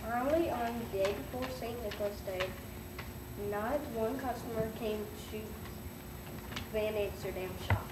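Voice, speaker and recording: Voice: mid-range voice, unhurried. Child narrator in very noisy room. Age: child, about eight or nine. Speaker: narrator in a school readers' theater. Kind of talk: scripted story narration, read aloud. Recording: very noisy echoey room, distant.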